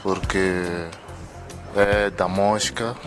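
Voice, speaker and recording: A man's voice: fairly low pitch, fairly even intonation, drawn-out words. A man explaining casually, adding frequent tag questions. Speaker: interviewee. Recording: music behind the voice.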